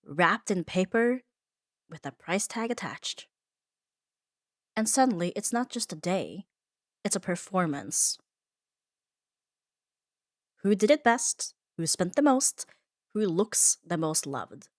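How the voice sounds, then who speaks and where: A woman's voice: on the low side, animated, takes it slowly, long pauses, no echo, studio quality. A woman delivering a scripted comfort ASMR monologue in an earnest, reflective manner, leaning on short emphatic phrases. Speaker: ASMR audio performer.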